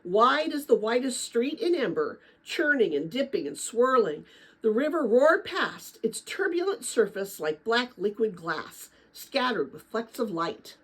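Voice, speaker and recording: A woman narrating a children's adventure novel as a dramatic read-aloud, with expressive, deliberate phrasing. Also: a little high, slightly rough, rough recording. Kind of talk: dramatic storybook read-aloud for middle-grade listeners.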